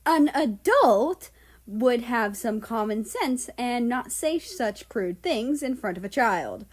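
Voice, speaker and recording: Lady doing a short-tempered scolding stepdad in a story, fussy and indignant, loud n kinda high. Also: lively intonation, slow. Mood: exasperated scolding.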